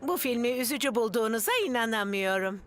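A woman voicing an anxious, effusive female character in a dubbed children's cartoon, highly expressive. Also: fast talker, faint music behind, lightly acted. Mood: surprised.

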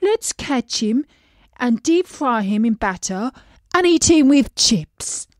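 A woman's voice: a little high, very animated, takes it slowly, a little mellow, no echo, clean studio sound. A woman voicing a glum, plain male pond fish in a storybook reading, speaking in a mournful, heavy way. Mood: gloomy.

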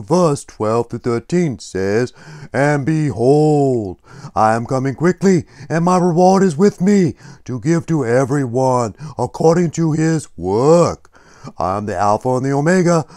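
A man's voice: fairly high pitch, darkish tone. A man voicing a laid-back, enthusiastic youthful dude puppet in a children's Bible skit, slangy and emphatic.

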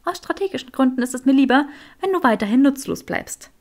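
A woman voicing a clever, stubborn young witch in an audiobook, curt and sharp-tongued. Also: sits high, lively intonation, a little fast, pitched above the performer's own voice.

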